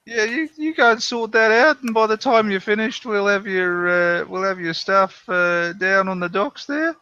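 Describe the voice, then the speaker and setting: Man putting on a gruff Australian sea captain. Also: high-pitched, moderate pace, sharp tone, muffled recording, pitched above the performer's own voice. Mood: gruff, hearty.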